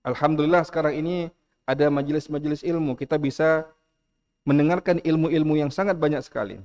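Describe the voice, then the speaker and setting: A middle-aged man with an Indonesian accent giving an earnest lecture in a mosque, repeating key phrases and reciting Arabic slowly. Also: a little high, steady tone, a little mellow. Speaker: preacher.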